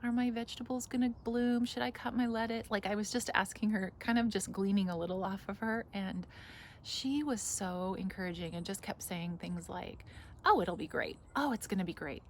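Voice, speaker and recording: A woman's voice: medium pitch, moderate pace. Enthusiastic woman vlogging outdoors, noisy ambience. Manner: enthusiastic, gushing. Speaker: garden vlog host. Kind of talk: casual rambling vlog chat. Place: outdoors in a home garden.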